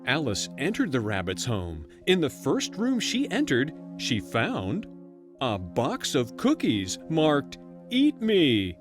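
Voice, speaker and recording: Storyteller, bedtime fairy tale, very animated, mid pitch, faint music. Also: slow pace. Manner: expressive, storybook-like, playful asides.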